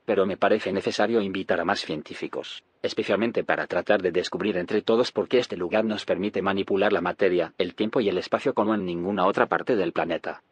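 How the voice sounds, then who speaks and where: An actor playing an idealistic, friendly leader of scientists in a naturalistic audio drama, with a husky voice. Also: male voice, deep voice, fairly quick, dry recording. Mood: friendly, hopeful.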